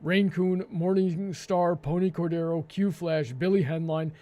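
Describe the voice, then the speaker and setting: A man hosting a live panel discussion, with a hesitant, halting delivery that often stammers and repeats words. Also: fairly high pitch, steady tone, mellow. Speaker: host.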